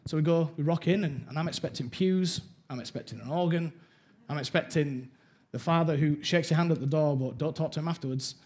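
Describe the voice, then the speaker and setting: A man's voice: on the high side, fairly quick, few pauses, a little mellow. Man from Wales, candid church testimony speaker, acts out the voices in his story. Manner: candid storyteller, acts out quotes, laughs at himself. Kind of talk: personal testimony talk.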